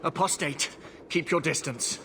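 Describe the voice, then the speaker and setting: An actor playing a rigid, suspicious wounded holy knight in a video game, formal and wary. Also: male voice, on the high side, measured pace, vocal fry, noisy background. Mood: hostile, wary. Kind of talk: dramatic game dialogue.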